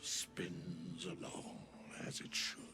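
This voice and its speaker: An actor playing an ancient, cryptic undead sage in a video game, with archaic, stately and deliberate diction. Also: male voice, deep voice, completely flat delivery, music in the background. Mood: solemn, cryptic. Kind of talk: video game character dialogue.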